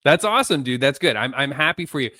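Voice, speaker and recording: A male podcast host, asking questions in an excited, casual way, full of "dude" and slang. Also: medium pitch, quick pace, no echo, studio quality. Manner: excited, says "dude" and "frickin'".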